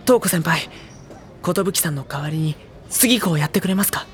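A woman playing a sensitive, earnest teenage schoolboy in an audio drama, soft-spoken, sincere and introspective. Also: fairly low pitch, animated, normal speed, close to an everyday voice. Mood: reassuring. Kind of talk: Japanese audio drama acting and narration. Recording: music behind the voice.

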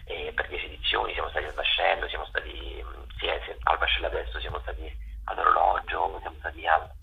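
A man giving halting, repetitive answers as a guest in a radio interview over the phone. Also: rumbling low, level tone, gravelly, slight background noise. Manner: halting, with repeated words. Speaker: festival organiser and interview guest. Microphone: phone call to radio studio. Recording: dull, lo-fi telephone line.

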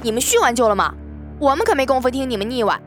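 A scornful immortal demon huntress, haughty and imperious. Her voice is fairly high, delivered in audio drama style over clear background music. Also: big pitch swings, quick pace. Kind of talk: Chinese audio drama acting.

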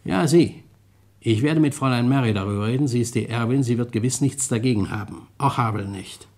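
An actor playing a courteous, slyly ironic police detective in a German radio crime drama, speaking in a measured way. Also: male voice, medium pitch, close to an everyday voice. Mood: persuasive, genial. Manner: polite, measured, gently ironic.

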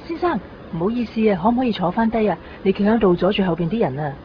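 A woman's voice: medium pitch, fast, background noise, natural voice. An actress playing a polite, professional female flight attendant in a radio drama. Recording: muffled radio recording, faint music.

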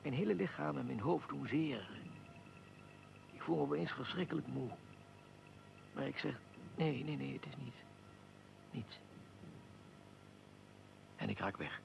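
Radio drama: aristocratic archduke, dignified and weary, very gravelly, formal delivery. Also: male voice, mid-range voice, lively intonation, a little slow, pauses often, over music. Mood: anguished, fading. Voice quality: gravelly, creaky. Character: Austrian archduke, aristocratic, weary, recounting his own assassination.

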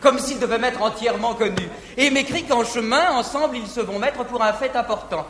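An actor playing an earnest, lovestruck young gallant in a 1950s verse stage comedy. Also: male voice, very high-pitched, fairly quick, a bit bright. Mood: eager. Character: young lovestruck gallant man, eager and open. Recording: old theater recording, echoey hall, faint hiss.

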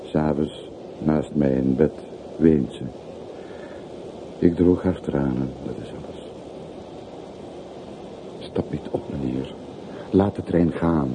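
An actor playing a gentle, melancholy old railway porter in a radio play, speaking in measured, earnest phrases. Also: male voice, very deep voice, full character voice. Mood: pleading. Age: older. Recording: slightly noisy old radio recording.

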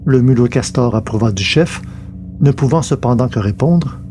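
A man reads literary narration for a science fiction audio serial. His voice is dark and mellow, sitting at a middle pitch. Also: animated, moderate pace, slight background noise.

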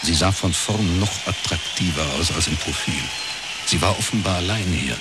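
An actor playing a reserved middle-aged detective in a radio crime drama, speaking in a dry, laconic way. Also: male voice, deep voice, normal speed, very noisy, close to an everyday voice. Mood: dry, reflective. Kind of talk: first-person radio crime drama narration and dialogue.

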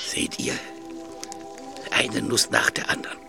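A man's voice: drawn-out words, over music. A man playing an eccentric animal lover in a German audio drama, tender with creatures and stubborn with people. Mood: tender, excited.

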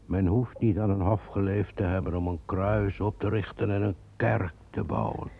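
An actor playing a brooding man descended from a traitor in a radio play, speaking in a solemn, measured way. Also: low-pitched, animated, heavily acted. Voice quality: gravelly. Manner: solemn, measured, visionary storytelling. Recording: dull lo-fi old radio recording.

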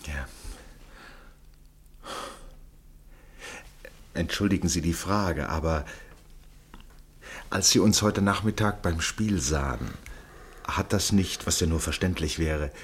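A man's voice: deep voice, measured pace, slightly rough. A man acting a polite, sympathetic listener in a radio play, speaking tactfully and formally. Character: polite, sympathetic male listener aboard a ship.

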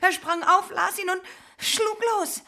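An actress playing a passionate, distraught young married woman in a radio play, with a breathy voice. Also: extremely high pitch, steady tone, a little slow, pushed and bright, full character voice. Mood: hysterical, distraught. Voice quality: breathy, often dropping to whisper. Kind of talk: intimate, emotional radio drama acting.

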